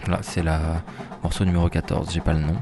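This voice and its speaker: A man's voice: sits low, fairly quick. A man hosting a community radio show, casually back-announcing the tracks with many hesitations and restarts. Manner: relaxed and hesitant, with fillers and restarts. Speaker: radio host. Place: bar toilets in the suburbs of Brussels. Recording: noisy ambience from a bar's toilets behind the voice.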